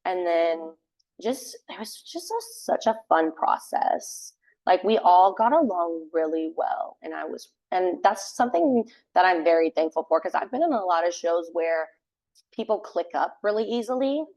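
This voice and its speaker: A young woman talks in a casual podcast interview about her theater tech work. Her voice is loud and sits a little low, and she leans on "like" as she rambles through her answers. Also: expressive, normal speed, rough recording. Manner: chatty, many fillers like "like". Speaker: guest, theater sound tech and assistant stage manager.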